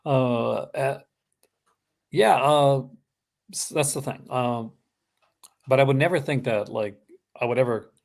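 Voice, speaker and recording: A man's voice: medium pitch, takes it slowly, gravelly, pauses often. A man with a Canadian accent chatting casually on a live stream, rambling with lots of fillers and restarts. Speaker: host. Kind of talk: casual chat. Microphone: streaming call.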